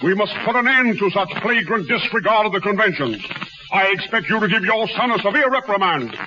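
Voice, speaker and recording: An actor playing a pompous, indignant middle-aged carnival boss with florid, orotund speech, in 1930s radio style. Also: male voice, high-pitched, expressive, a little fast. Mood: stern, pompous. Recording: dull, hissy 1930s radio recording.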